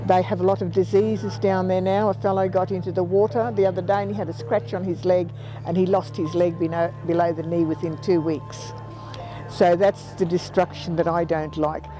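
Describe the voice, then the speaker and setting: A woman's voice: fairly low pitch, level tone, moderate pace, over music, low-quality recording. An elderly Australian woman in her seventies telling her views in a reflective, plain-spoken interview, repeating phrases for emphasis. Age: 70s. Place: outdoors on a planted patio. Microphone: lapel mic.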